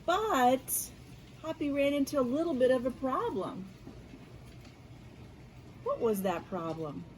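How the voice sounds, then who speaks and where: An American woman, a school media specialist, reading aloud and teaching young children in an expressive, encouraging, question-asking way. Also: high-pitched, a little hiss or hum, low-quality recording. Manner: expressive, encouraging, asks questions and pauses for answers. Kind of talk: read-aloud storytelling and lesson for young children.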